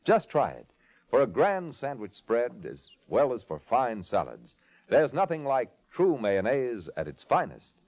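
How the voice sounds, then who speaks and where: A man's voice: mid-range voice, huge pitch swings, unhurried, a little mellow. A man with an American accent reading scripted sponsor copy and narration as an old-time radio announcer.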